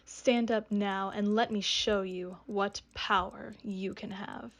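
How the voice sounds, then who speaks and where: A woman playing a vengeful ghost of a wronged woman, speaking in hushed, solemn tones in a radio drama. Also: medium pitch, fairly even intonation, takes it slowly. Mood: ominous.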